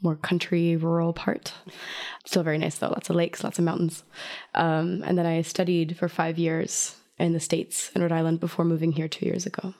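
A drag performer from Germany, answering interview questions in a relaxed, thoughtful way. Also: female voice, on the low side, normal speed. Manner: relaxed, thoughtful, laughs lightly.

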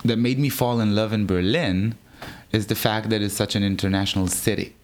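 A man speaks casually as an interview guest, his voice sitting fairly low. He talks in an animated way, laughs easily and often restarts his sentences. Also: fairly quick. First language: Hebrew. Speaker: drag performer guest.

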